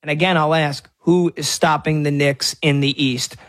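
A man's voice: on the high side, steady tone, normal speed, a bit bright. A man hosting a sports talk radio show, arguing his point through a stream of rhetorical questions. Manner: argumentative, fires off rhetorical questions.

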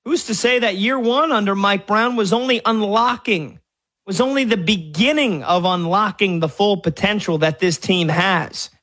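High-voiced man hosting sports radio. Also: normal speed, fairly bright tone. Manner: argumentative, fires off rhetorical questions.

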